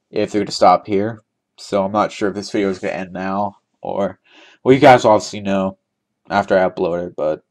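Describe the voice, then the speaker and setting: Young male game host, lowish voice, loose rambling commentary with laughs, desk mic. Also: a little mellow. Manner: chatty, rambling, laughs often, thinks aloud. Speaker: trivia giveaway host. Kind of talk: casual gameplay commentary.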